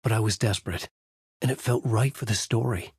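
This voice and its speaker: An actor playing a haunted, desperate horror writer, narrating in a brooding, intense noir style for a video game. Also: male voice, lowish voice, brisk, darkish tone, husky, dry recording, studio quality. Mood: brooding, grave. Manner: brooding, intense, terse delivery. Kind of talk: dramatic first-person noir narration.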